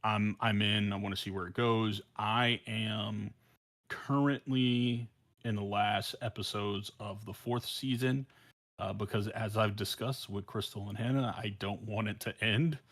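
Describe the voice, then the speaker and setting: Male podcast panelist, lowish voice, halting and self-deprecating, casual fan chat. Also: steady tone, fairly bright tone.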